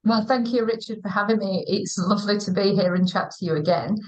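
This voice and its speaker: A woman in her forties with an English accent, a school teaching director, telling her career story unhurriedly on a video call, with many pauses and fillers. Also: medium pitch, darkish tone, rough recording. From England. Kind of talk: conversational livestream interview.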